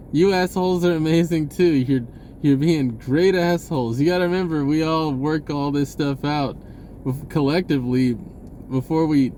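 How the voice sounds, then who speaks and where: A man giving a rambling, casual spiritual monologue in a halting, informal and blunt way, swearing at times. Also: a little high, warm tone, a little hiss or hum. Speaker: vlogger speaking a personal message.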